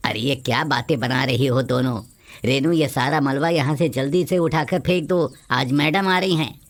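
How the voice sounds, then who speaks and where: A woman voicing a male building contractor in a cartoon story, a brisk, matter-of-fact boss. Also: a bass voice. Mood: brisk, bossy. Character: building contractor, a man, brisk boss, sympathetic but bossy.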